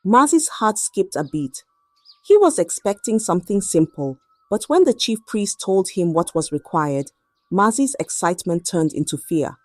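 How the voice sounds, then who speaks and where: A woman narrating a scripted folktale in a dramatic, measured storytelling manner. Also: lowish voice, sing-song, a little mellow, dry recording, clean studio sound.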